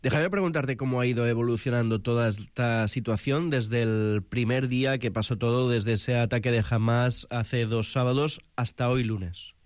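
A man's voice: mid-range voice, fairly even intonation, moderate pace, few pauses, no echo, studio quality. A man presenting the news and interviewing as a radio host, in a scripted broadcast style.

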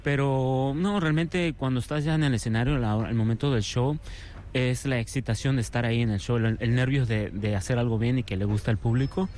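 A man with a Venezuelan accent answering interview questions casually, relaxed and a little hesitant, often repeating words. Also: medium pitch, fairly quick, a little hiss or hum. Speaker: circus artist, interview guest.

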